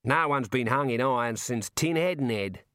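A man's voice: medium pitch, lively intonation, moderate pace, fairly bright tone, dry recording, studio quality, natural voice. A man voicing a cheerful, chatty prison warder with an Australian accent and a breezy, matey manner, in a storybook reading. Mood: jovial. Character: cheerful chatty male prison warder, jovially morbid, folksy.

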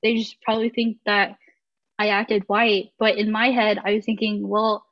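A woman's voice: medium pitch, level tone, moderate pace. A young woman from the United States, speaking thoughtfully and hesitantly as a guest in a casual podcast conversation. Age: 20s. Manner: thoughtful, hesitant, many fillers. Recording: slightly muffled lo-fi audio.

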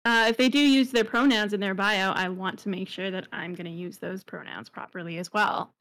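A female streamer speaks earnestly in a casual group chat, her voice mid-pitched. She pauses now and then to find the right words. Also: steady tone, fairly bright tone, poor audio. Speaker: streamer, guest.

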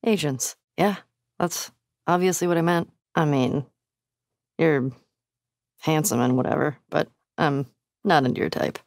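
A woman's voice: sits low, a little slow, pauses often, darkish tone, no echo, clean studio sound. A woman playing a tough, sardonic, foul-mouthed young American survivor in a video game, blunt and naturalistic in delivery. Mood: casual, wry. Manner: blunt, sweary.

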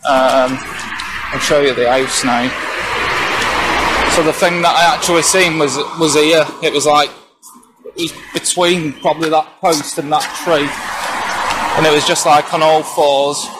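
A young man from England, a witness recounting his experience in a halting, hesitant way, outdoors on a residential street. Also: a little high, steady tone. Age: young adult. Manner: hesitant, halting, many fillers. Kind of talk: casual first-hand recounting. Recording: noisy outdoor ambience.

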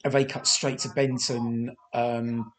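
A man hosting a podcast, chatting through a TV commentary with a slightly croaky voice, rambling and hesitant with many fillers and restarts, self-deprecating. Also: medium pitch, flat delivery, slow. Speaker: podcast host. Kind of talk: casual film commentary.

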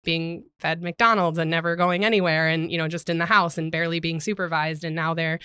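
A woman with a smooth, clear voice speaks in a fairly flat, even tone, giving earnest answers full of ums and you-knows. The sound is dry and close. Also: deep voice, a little fast, no room sound. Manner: earnest, many fillers like um and you know. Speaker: ministry guest. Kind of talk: casual interview answers.

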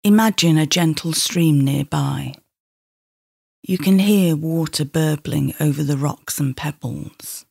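A middle-aged woman leading a guided meditation in a soothing, measured, instructing manner. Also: sits low, vocal fry, darkish tone, husky, dry recording, clean studio sound.

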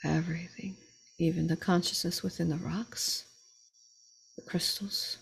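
A woman leading a slow guided meditation in a soft, breathy voice that often drops to a whisper, with long pauses. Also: on the low side, fairly even intonation, low-quality recording. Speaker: guided meditation leader and channeler.

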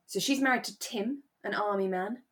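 A woman co-hosting a podcast in relaxed, chatty conversation about a radio drama. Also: mid-range voice, moderate pace. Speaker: podcast co-host.

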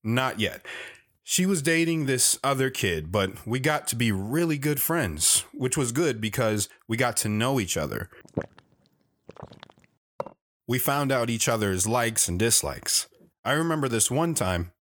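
A man's voice: mid-range voice, animated, moderate pace, a bit bright, close to an everyday voice. A man playing an awkward, lovestruck wanderer who earnestly tells his life story in an audio drama. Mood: matter-of-fact. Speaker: storyteller guest on a radio talk show. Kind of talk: dramatic first-person audio drama narration.

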